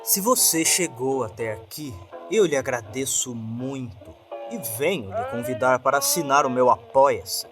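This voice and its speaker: A man's voice: mid-range voice, lively intonation, unhurried. A man, a podcast host making a friendly, direct appeal to his listeners for support. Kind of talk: channel outro asking for support. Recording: music clearly under the voice.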